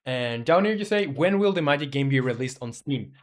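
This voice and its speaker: Man, 20s, mid-pitched, casual live-stream developer, rambling and filler-heavy, thinks aloud. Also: expressive, fairly quick.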